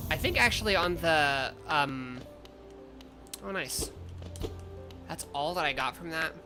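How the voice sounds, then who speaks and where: A man streaming a game, chatting casually in a rambling, self-correcting way, with sudden loud outbursts at the game. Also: fairly high pitch. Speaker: vtuber streamer. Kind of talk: casual gameplay chat. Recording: game music playing behind.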